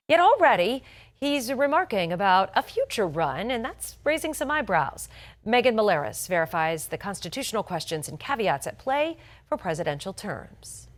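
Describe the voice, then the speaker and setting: Woman news anchor, polished and emphatic. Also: mid-range voice. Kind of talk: scripted morning news broadcast.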